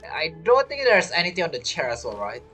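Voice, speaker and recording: A man's voice: fairly high pitch, huge pitch swings, fairly quick, bright, forceful tone, faint music behind. A man giving casual, slangy, jokey commentary as he plays a video game. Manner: jokey, acts out imagined quotes, slangy. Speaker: game streamer.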